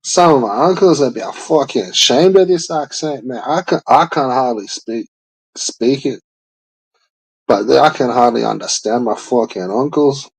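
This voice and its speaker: A man's voice: mid-range voice, moderate pace, husky, rough recording. A man, rambling and swearing through a laid-back casual chat.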